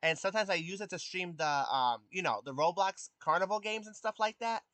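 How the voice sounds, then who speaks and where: A man of twenty chatting casually with his live stream viewers. He has a youthful voice that has not deepened, and he hesitates often with ums and restarts. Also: expressive, a little fast, fairly bright tone, dry recording. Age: 20s. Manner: hesitant, many ums and restarts, answers chat questions.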